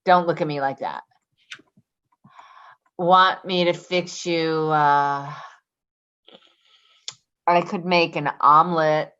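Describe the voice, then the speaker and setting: A woman playing an evasive, manipulative caregiver in a readers theater drama, halting and repeating her words. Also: on the low side, a bit bright, lo-fi sound. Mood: startled, defensive. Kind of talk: readers theater stage acting.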